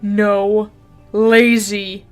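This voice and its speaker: A woman performing a comedic dub of a webcomic with a brisk, playful delivery and sudden loud outbursts. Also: medium pitch, level tone, faint music behind. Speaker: fan dubber voicing a webcomic. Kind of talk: comedic comic dub, quick skits.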